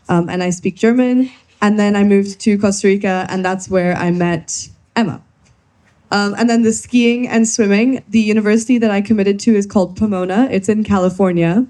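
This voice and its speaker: A woman's voice: mid-range voice, warm tone. A young woman from Kenya presenting to a workshop audience, friendly and playful as she runs a quiz. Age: young adult. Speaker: workshop speaker.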